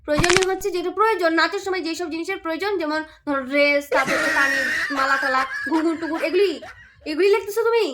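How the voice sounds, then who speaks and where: A woman's voice: very high-pitched, very fast. A woman doing domestic comedy banter as a nagging, argumentative wife. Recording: noisy home-video sound.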